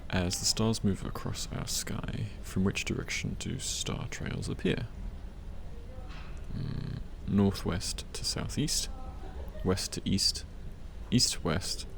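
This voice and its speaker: A young man playing a gentle, teasing study partner in an ASMR roleplay, speaking softly and breathily, often close to a whisper. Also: deep voice, fairly even intonation, creaky, full character voice. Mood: calm, studious. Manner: hushed, unhurried, hesitant with small hums. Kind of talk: soft-spoken ASMR roleplay. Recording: faint library ambience noise. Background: quiet library ambience.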